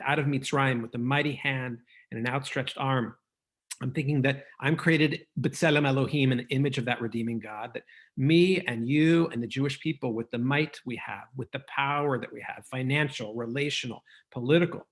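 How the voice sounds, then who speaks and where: A man's voice: medium pitch, fairly bright tone. A middle-aged man, a rabbi, telling a story in an earnest, sermon-like cadence. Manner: earnest, preacher-like cadence with Hebrew terms. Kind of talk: conference talk, sermon-like storytelling.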